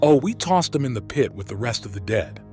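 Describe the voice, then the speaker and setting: A man's voice: mid-range voice, big pitch swings, brisk, a little mellow, faint music behind, close to an everyday voice. A man playing a matter-of-fact, weary leader of apocalypse survivors in an audio comic. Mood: uneasy. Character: grim, plain-spoken survivor leader of a town. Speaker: voice actor. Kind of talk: audio comic dub, dramatic dialogue.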